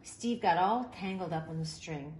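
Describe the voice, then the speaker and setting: A woman reading a children's picture book aloud in an expressive, animated way, with deliberate emphasis. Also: on the low side. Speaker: storybook reader. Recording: roomy echo, faint background noise.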